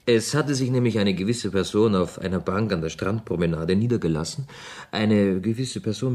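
A man's voice: on the low side, a little mellow. A man in a German radio play, playing a wealthy young bon vivant, urbane, flippant and mock-gallant. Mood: teasing. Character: suave, wealthy young man about town, playfully teasing.

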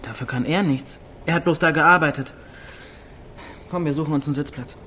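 A man's voice: on the high side, fairly quick, pushed and bright, slight background noise. A teenage village boy in a radio play. He is cocky and easily stung, yet smitten underneath. His talk is casual, teasing and full of youthful slang. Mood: casual, defiant. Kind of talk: naturalistic radio drama dialogue.

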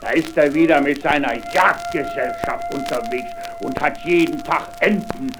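A poor miller with a fairly high voice that rises and falls freely. He sighs, hesitates and boasts servilely in a fairy-tale radio play with music underneath. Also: wildly swooping pitch, normal speed. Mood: grumbling. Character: poor boastful miller, grumbling yet fawning father.

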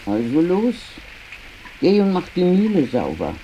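A woman's voice: fairly low pitch, huge pitch swings, measured pace, mellow. An actress playing a practical old grandmother of a poor village household in a radio play. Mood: brisk, chiding. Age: older. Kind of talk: German radio play acting. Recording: dull, faint sizzling rain noise.

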